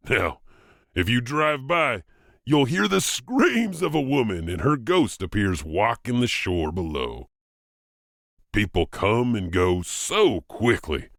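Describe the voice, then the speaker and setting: A man's voice: fairly low pitch, a bit bright, no echo, clean studio sound. A man hosting and narrating spooky legends in a theatrical, tongue-in-cheek scripted storytelling style. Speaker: host and narrator of horror anthology podcast.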